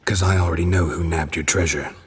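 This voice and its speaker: Very deep, gruff monster hunter. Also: male voice, quick pace, long reverb tail. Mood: blunt. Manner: terse, clipped, deadpan. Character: gruff, stoic, blunt male monster hunter. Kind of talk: video game voice acting.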